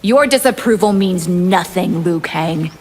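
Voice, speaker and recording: A woman's voice: lowish voice, lively intonation, unhurried. A woman playing a haughty, hungry vampire from another realm, cold and seductive in a video game. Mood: cold menace. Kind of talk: video game fight intro banter. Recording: game audio with background noise.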